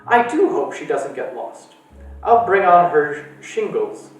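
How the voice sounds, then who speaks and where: A man's voice: a little high, very animated, slow pace, voice pushed up. A fussy, doting old woman from a storybook, fretting in a raised voice, as a man reads her part. The voice is roomy and echoey, caught from a distance with faint music behind. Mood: fretful.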